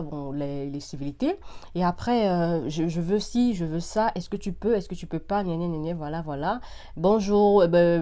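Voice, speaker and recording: Emphatic woman vlogger chatting to viewers. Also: lowish voice. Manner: animated, emphatic, repeats phrases for insistence; mixes in English slang. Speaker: vlog host giving opinions to viewers. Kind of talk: casual direct-to-viewer chat.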